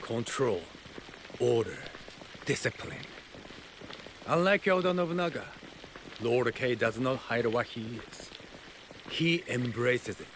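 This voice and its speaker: An actor playing a solemn, devoted follower of a feudal Japanese warlord in a video game, speaking deliberately and formally. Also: male voice, mid-range voice, a bit bright. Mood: solemn conviction. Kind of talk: dramatic video game acting. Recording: clear rain and ambient noise behind.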